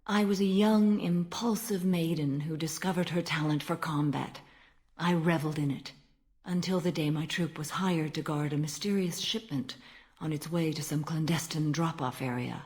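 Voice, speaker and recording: An actress playing a stern, ancient alien warrior-monk in a video game, speaking in a formal, measured and solemn manner. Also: female voice, sits low, fairly even intonation, darkish tone, lightly acted. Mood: solemn recollection. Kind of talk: dramatic video game dialogue.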